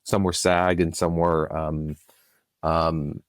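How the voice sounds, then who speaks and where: A man's voice: a bass voice, little pitch movement, takes it slowly, no echo, clean studio sound. A man speaking as a producer and podcast guest, answering informally and with enthusiasm, often circling back mid-thought. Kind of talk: casual interview answers.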